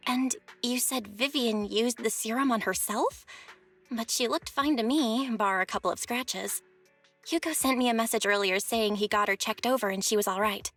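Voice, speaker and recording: A caring young sister talks in a concerned, chatty way. Her voice sits a little high, and faint game music plays behind. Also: a bit bright.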